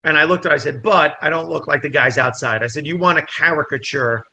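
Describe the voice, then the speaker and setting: A man's voice: a little high, fast. A comedian telling a story in a casual interview, acting out the people in it. He grew up speaking Italian first and is from New Jersey. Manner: animated storyteller, acts out dialogue. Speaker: comedian guest.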